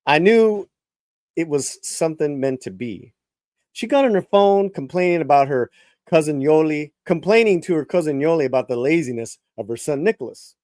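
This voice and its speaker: A man performs a first-person novel aloud with a somewhat high, very animated voice that swoops up and down. His reading is theatrical, dropping to a hush and then punching words, with frequent stumbles and restarts. The sound is dry and close. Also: moderate pace, dry recording. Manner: theatrical, swinging between hushed and emphatic with frequent restarts. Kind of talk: dramatic live reading of first-person fiction.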